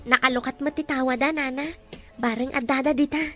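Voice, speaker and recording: A woman with an Ilocano Filipino accent playing a gentle, respectful young daughter in an audio drama. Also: high voice, steady tone, fairly quick, soft background music. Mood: cheerful. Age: young adult. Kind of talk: radio-drama dialogue.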